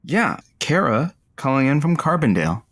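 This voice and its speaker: An actor playing a dry, deadpan radio producer and sidekick bantering in an audio comedy. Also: male voice, medium pitch, moderate pace. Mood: hurried.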